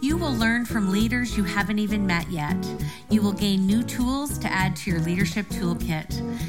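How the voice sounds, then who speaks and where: A woman's voice: medium pitch, moderate pace, over loud music. A woman speaking as a podcast host, delivering a prepared introduction and welcome.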